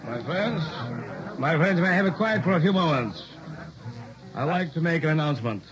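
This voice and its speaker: An actor playing a sly older scientist and medical instructor, speaking formally and deliberately in 1960s radio drama style. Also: male voice, on the high side, animated, music in the background, natural voice. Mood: genial, formal. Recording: dull old radio recording.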